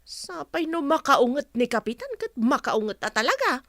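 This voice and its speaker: A chatty, carefree village gossip played by a woman in an Ilocano radio comedy. Her voice is high and bright, heard close and dry, with an Ilocano accent. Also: sing-song, normal speed, no echo, full character voice. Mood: surprised, chatty.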